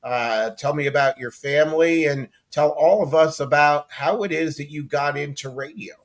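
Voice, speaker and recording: Higher-pitched male talk show host. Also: normal speed. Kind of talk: conversational interview.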